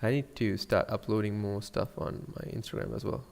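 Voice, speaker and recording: A man with a Sri Lankan accent giving a casual software tutorial, thinking aloud in a hesitant murmur as he works. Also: on the low side, fairly even intonation, normal speed, creaky, long reverb tail. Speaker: 3D animation tutorial streamer.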